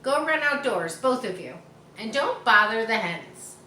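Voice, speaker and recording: A woman voicing a brisk, bossy farmwife aunt in a children's storybook, firm and ordering. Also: on the high side, very animated, pushed and bright, far from the mic, heavily acted. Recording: roomy echo, faint background noise.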